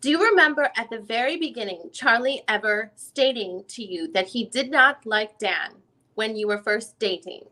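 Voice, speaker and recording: Woman reading as a higher-voiced, methodical female attorney. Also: moderate pace, sharp tone, low-quality recording. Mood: probing, methodical. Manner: formal, methodical questioning. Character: probing female defense attorney questioning a witness. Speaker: reader in an online dramatic reading. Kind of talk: reading a deposition transcript aloud.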